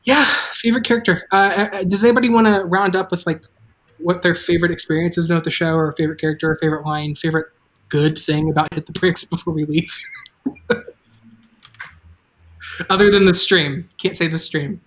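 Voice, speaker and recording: The show's creator hosts a live stream in a mid-pitched voice. The talk is casual and unscripted, moving in halting phrases with offhand asides. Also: steady tone, fairly bright tone. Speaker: show creator and table read host. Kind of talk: casual, unscripted talk about making a show.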